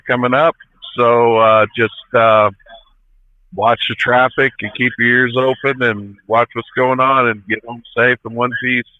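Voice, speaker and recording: A man's voice: mid-range voice, smooth, dry recording. Plain-spoken American man on tinny phone line. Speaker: truck driver guest. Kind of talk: casual chat, parting shout-outs.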